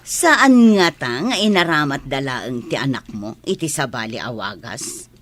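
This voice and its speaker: A woman's voice: extremely low pitch, expressive, a little slow, a bit bright, full character voice. A woman with a northern Philippine accent playing a sassy, teasing villager in a radio comedy-drama. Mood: mocking, nosy. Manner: teasing, questioning.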